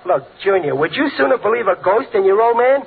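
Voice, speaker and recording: High-pitched blustering radio-comedy dad, tinny recording. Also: male voice, animated, a little fast, creaky, slightly rough, heavily acted. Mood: cocksure. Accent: American. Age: middle-aged. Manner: folksy, ungrammatical, chuckling wisecracks. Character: blustering working-class father, joking and cocksure. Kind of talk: 1940s radio comedy acting.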